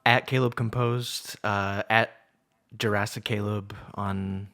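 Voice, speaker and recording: A man with a fairly low but very bright, forward voice. He speaks as a podcast co-host in an informal, conversational style. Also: steady tone, takes it slowly. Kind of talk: casual podcast chat.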